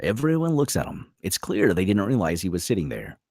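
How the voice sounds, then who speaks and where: A man with a lowish, rough-edged voice narrates a script. His delivery swings widely in pitch, dramatic and vivid, recorded close and dry. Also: very animated, brisk, a little mellow, dry recording. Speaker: narrator reading stage directions.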